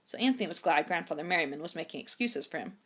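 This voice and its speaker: A woman reading a children's chapter book aloud in an expressive storytime narration style. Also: low-pitched, rapid-fire. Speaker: storytime reader.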